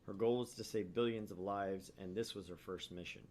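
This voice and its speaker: A man's voice: on the low side, steady tone, a little fast, darkish tone, a little hiss or hum. A man co-hosting a let's play, switching between casual chat and narrating the story aloud. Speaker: co-host and narrator of a visual novel let's play.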